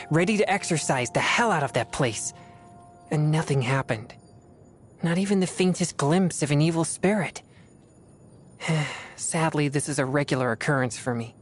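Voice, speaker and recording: A woman voicing an earnest, disciplined teenage boy exorcist in a fantasy video game, speaking in a measured, formal way. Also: deep voice. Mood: dejected. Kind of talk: video game character voice lines. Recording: music behind the voice.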